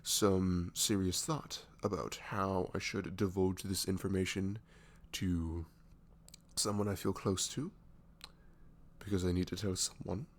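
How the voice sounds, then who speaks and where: A man playing a goofy young best friend in an ASMR roleplay, halting and mock-professional. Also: low voice.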